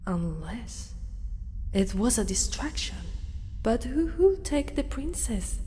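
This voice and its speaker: A woman's voice: fairly high pitch, sing-song, slow, dark tone, slight background noise. A woman playing a devoted, fierce dragon knight in a dramatic ASMR roleplay, speaking in formal, archaic phrases with theatrical weight. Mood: brooding, suspicious.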